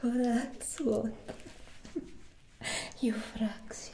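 A woman's voice: on the high side, fairly even intonation, extremely slow, dark tone, exaggerated voice. Woman playing a whispery, defiant captive vampire hunter. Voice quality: breathy, whispery. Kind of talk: soft-spoken ASMR roleplay.

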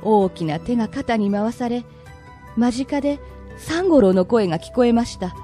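Mellow woman narrating a radio drama. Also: on the high side, animated, moderate pace, soft background music. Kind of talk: scripted radio drama narration in polite old-tale storyteller manner.

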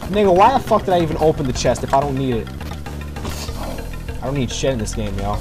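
Young male gamer, animated and slangy, swears freely, commentary over music. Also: medium pitch, fast talker. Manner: profane, slangy, excitable, talking to himself. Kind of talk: casual gameplay commentary. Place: at home at night. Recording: music behind the voice.

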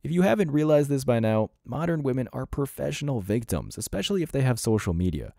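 A man's voice: mid-range voice, very animated, quick pace, vocal fry, mellow, no room sound, clean studio sound. A man delivering scripted reaction commentary as a host, in a mocking, sarcastic and blunt manner.

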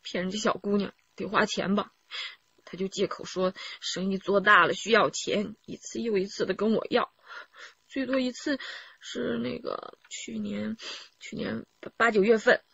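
An actress playing an aggrieved middle-aged wife giving her account in a radio crime drama, in a subdued, halting and weary manner. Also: on the high side, vocal fry, breathy edge, dull audio. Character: aggrieved wife confessing her husband's scheme to police. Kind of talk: radio drama testimony, recounting.

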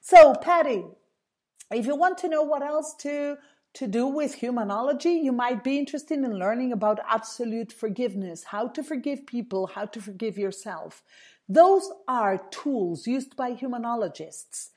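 A woman hosting a solo radio talk show, hoarse and husky, earnestly explaining and repeating key points for listeners. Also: fairly high pitch, animated, normal speed, darkish tone. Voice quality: husky, strained voice.

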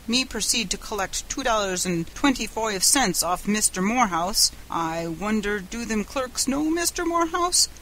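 A woman's voice: medium pitch, animated, moderate pace, few pauses, a bit bright, slight background noise, close to an everyday voice, low-quality recording. A woman voicing a stubborn, sardonic Irishman working as an express agent, with a folksy, dogged way of talking. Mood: sly, grumbling.

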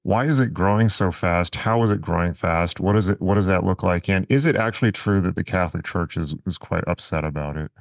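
A man's voice: low-pitched, a little fast, vocal fry, hardly any pauses, no echo, clean studio sound. A man in his forties asking casual interview questions as a podcast host. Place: indoor studio, video call. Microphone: close broadcast mic on boom arm, headphones.

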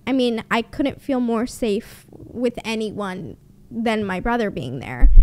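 Blunt high-voiced young woman. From Canada. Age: young adult. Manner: blunt, sarcastic, swears freely. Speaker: podcast host. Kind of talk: casual storytelling monologue. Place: small home room, indoors. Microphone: studio mic on boom arm, close.

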